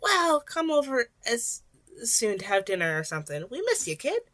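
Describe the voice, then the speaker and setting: A woman's voice: a little high, wildly swooping pitch, normal speed, fairly bright tone, no echo, pitched above the performer's own voice. A woman reader puts on a stubborn, caring mother in an amateur audio story. The voice is lifted and emphatic, pushing for answers with motherly concern. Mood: warm, concerned. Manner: emphatic, pressing.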